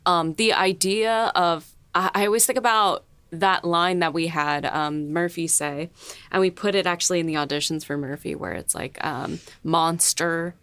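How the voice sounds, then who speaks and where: A woman's voice: fairly low pitch, fairly even intonation, normal speed, a bit bright, smooth, no echo. A woman in a casual behind-the-scenes chat, reflective and searching for her words as she goes. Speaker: podcast host and series writer.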